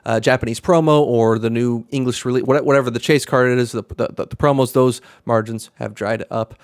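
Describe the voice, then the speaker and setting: A young man hosting a casual live stream, talking in a rambling, monotone way with many fillers and restarts. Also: medium pitch, brisk, no room sound, studio quality. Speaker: live stream host, card seller.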